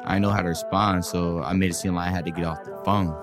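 A low-pitched, cocky guy, played by a man in an audio drama, boastful yet wary and skeptical. His talk is slangy and conversational, with music clearly audible behind it. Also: level tone, fast, lightly acted. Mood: casual, wary. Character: guarded, cocky, skeptical single guy playing pool.

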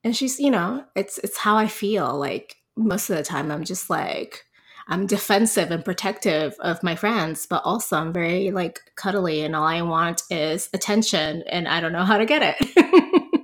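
A woman from Puerto Rico in her thirties talks as a podcast guest. Her voice sits a little low, and she chats loosely with plenty of ums and laughs. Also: lively intonation, normal speed. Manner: chatty, laughs often, many ums. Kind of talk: casual interview chat.

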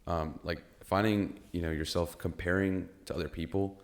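Super deep-voiced young dude hosting a podcast, thinking out loud, lots of restarts. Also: male voice, steady tone. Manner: reflective, halting, many restarts and "you know". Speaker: podcast host. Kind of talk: casual chat.

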